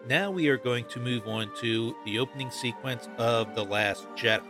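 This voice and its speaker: A man's voice: medium pitch, normal speed, music in the background. A man hosting a solo fan podcast, talking through his opinions emphatically and repeating points for stress. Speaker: podcast host. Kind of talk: solo fan commentary on films.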